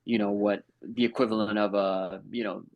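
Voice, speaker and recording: A laid-back man in his thirties, a native Portuguese speaker raised in New York, talking casually as a podcast guest. His voice sits low and gravelly, full of fillers and "bro". It is recorded dry and close, with no room sound. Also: little pitch movement, normal speed, no room sound, muffled recording. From Brazil, raised in New York. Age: 30s. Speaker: guest, house flipper.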